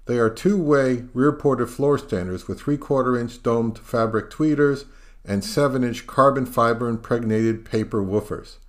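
A middle-aged man hosting an audio review channel, reading scripted narration in a measured, explanatory way. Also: medium pitch.

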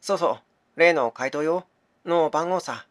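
A man playing a blunt, brotherly best friend in a game, talking in rough casual speech. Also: fairly high pitch, unhurried, no room sound, clean studio sound, lightly acted. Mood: concerned. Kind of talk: game dialogue reading.

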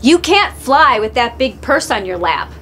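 An actress playing a scheming middle-aged flight attendant who sounds falsely sweet. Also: female voice, a little high, big pitch swings, measured pace, a bit bright, slight background noise. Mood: sly. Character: scheming thieving female flight attendant, sly. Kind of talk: scripted comedy sketch acting.

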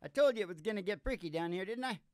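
A man's voice: sits high, animated, very fast, no room sound, studio quality. An older man hosting a music podcast, bantering in a rambling, folksy, jokey way on a stage microphone. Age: older, under eighty. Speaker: podcast host and country musician. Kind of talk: rambling comic philosophical banter. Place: small curtained studio stage. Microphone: stand-mounted vocal microphone.

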